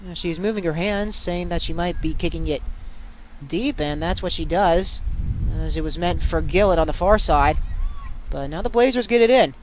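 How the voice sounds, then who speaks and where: A young man doing excitable live play-by-play sports commentary as a student sportscaster. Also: fairly high pitch, normal speed, slight background noise, rough recording. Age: young adult. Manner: excitable, bursting into shouts on big plays.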